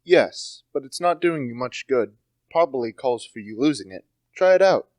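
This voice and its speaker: A teenage male actor playing a coolly clever, detached gentleman in a radio play, offhand and understated. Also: medium pitch, lively intonation, normal speed, dry recording, close to an everyday voice. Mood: offhand, sure.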